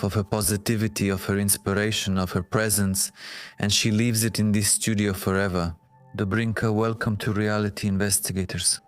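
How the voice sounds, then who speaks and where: A man hosts a podcast, delivering a scripted introduction and questions in a measured, solemn, even manner. His voice sits low and smooth, with very little rise and fall. Faint music plays underneath. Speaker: podcast host.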